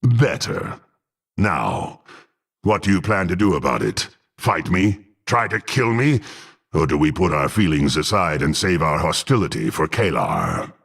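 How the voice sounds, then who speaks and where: Deep gravelly half-orc warrior. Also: male voice, very animated, fairly bright tone, full character voice. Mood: mocking scorn. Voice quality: gruff, gravelly. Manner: scornful, deliberate, haughty. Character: brutish, menacing, proud half-orc warrior man. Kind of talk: video game voice acting.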